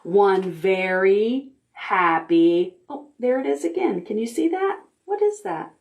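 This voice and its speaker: Slow, gentle storytime librarian woman. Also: mid-range voice, animated, creaky. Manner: asks the children questions while reading; gentle, deliberate storytime delivery. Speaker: children's librarian. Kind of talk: reading picture books aloud to preschoolers.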